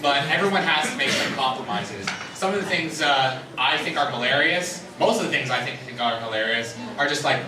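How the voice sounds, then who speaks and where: A man talking casually and haltingly as a convention panelist, often rephrasing as he goes. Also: mid-range voice, expressive. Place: large echoing convention panel room. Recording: echoey hall, distant mic, loud room noise.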